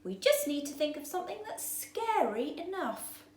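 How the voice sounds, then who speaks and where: A woman's voice: sits high, big pitch swings, normal speed, a little mellow, voice pushed up. A woman voicing a tiny, eager fish who talks in a cheerful, encouraging way. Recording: roomy echo.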